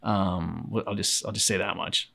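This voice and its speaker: A man co-hosting a reaction show, chatting casually and haltingly, often trailing off mid-thought. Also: low-pitched, fairly even intonation, gravelly, bright, forceful tone. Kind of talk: casual chat reacting to game cutscenes.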